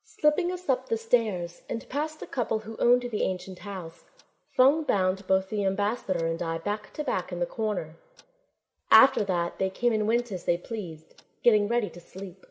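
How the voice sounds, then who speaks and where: A woman's voice: medium pitch, natural voice. A woman playing a plucky, kind young shaman heroine who narrates her own story in an audio drama. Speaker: narrator and protagonist. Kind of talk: first-person audio drama narration and acting.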